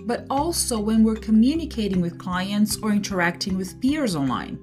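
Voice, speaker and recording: Female podcast host, Portuguese native speaker. Medium pitch, expressive range, clear explanatory delivery. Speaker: translator. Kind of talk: solo podcast monologue.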